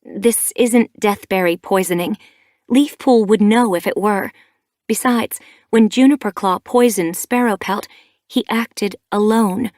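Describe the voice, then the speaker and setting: A woman's voice: a little high, lively intonation, unhurried, dry recording, studio quality, voice pushed up. A woman reading an audiobook, voicing an earnest, defensive mother she-cat who reasons firmly.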